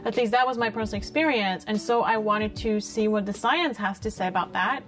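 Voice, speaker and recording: Czech woman late 20s, native czech speaker, chatting in an interview, informal n reflective, mid pitch, faint music behind. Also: fairly quick, fairly bright tone. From Czech Republic, small town. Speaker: interview guest, polyglot. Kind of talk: casual conversational interview. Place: small bright living-room style set, indoors.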